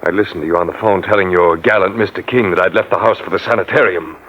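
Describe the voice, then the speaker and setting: An actor playing a mad, murderous doctor and bitterly jealous husband in a menacing, melodramatic 1940s radio style. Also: male voice, deep voice, quick pace, a little hiss or hum, lightly acted. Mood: cold menace. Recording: muffled vintage 1940s radio recording.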